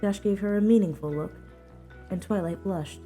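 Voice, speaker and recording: A woman narrates scripted fiction in a mellow, relaxed tone. Her pitch sits in the middle, and music plays faintly behind her. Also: normal speed. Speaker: narrator, fan fiction reader.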